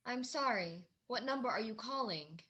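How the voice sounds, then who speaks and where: A woman's voice: on the high side, lively intonation, normal speed, sharp tone, muffled recording, heavily acted. A woman acting a polite telephone switchboard operator in a radio drama, formal and formulaic. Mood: courteous, routine. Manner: formal, routine, courteous. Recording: clearly noisy background with clicks.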